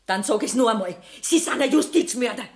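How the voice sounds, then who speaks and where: Defiant Bavarian mother, higher-pitched voice. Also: lively intonation, brisk. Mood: scornful, defiant. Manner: blunt, accusing, earthy. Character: defiant, embittered poor Bavarian mother of a condemned convict. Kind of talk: 1970s German radio play acting.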